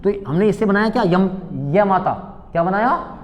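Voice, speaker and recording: Male teacher, high voice, reverberant hall, call-and-response questioning, exam coaching. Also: normal speed, dull audio. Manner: drills students with repeated questions and call-and-response answers; addresses students fondly as beta, bachche. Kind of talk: exam-prep classroom lesson.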